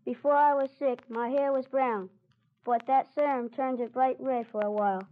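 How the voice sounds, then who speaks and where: A woman as a high-voiced cheerful schoolboy. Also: fairly even intonation, normal speed, close to an everyday voice. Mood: cheerful, grateful. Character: cheerful young schoolboy, recovered and grateful. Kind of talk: mid-century radio acting. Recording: dull, lo-fi old radio recording.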